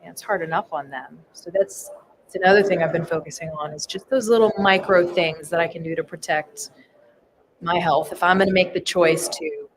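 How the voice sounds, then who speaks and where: A woman's voice: lowish voice, fairly even intonation, moderate pace, a crowd in the background. A woman in her thirties, a firefighter, telling a candid personal story in a casual podcast chat. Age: 30s. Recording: noisy live event floor.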